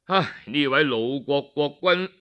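A man's voice: a little high, lively intonation, slow, smooth, highs cut off, voice pushed down. A man with a Cantonese accent voicing a vain, boastful ancient king in an oral story, self-satisfied and exclamatory. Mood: sighing relief.

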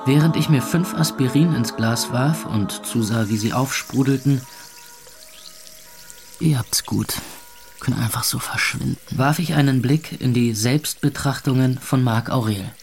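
Gravelly, wry young narrator. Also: male voice, medium pitch, unhurried, soft background music. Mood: weary, sardonic. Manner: dry, sardonic, weary. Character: hungover, wry young club owner narrating his misery. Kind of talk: first-person scripted narration in audio drama.

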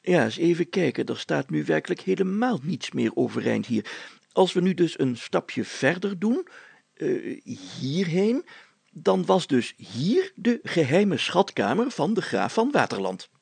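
A puffed-up village mayor from a storybook. The voice sits well above an everyday male pitch, with a rough, creaky edge, and declaims grandly, stretching the solemn words with great self-importance. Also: big pitch swings, a little mellow, no echo, heavily acted. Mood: commanding. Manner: pompous, declamatory, drawn-out solemn phrasing. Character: pompous, excitable village mayor, grandiloquent adult man.

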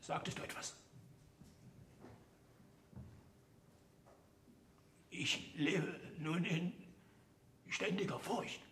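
An actor in a German radio play voicing an old, fearful yet sly scholar, confessing in a halting, mock-humble way. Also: male voice, sits high, fairly bright tone, slight background noise, full character voice. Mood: fearful, hushed. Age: older.

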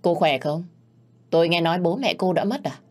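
A woman's voice: fairly low pitch, expressive, fairly quick, darkish tone. A woman voicing a cold, cocky young man with an offhand, cool manner.

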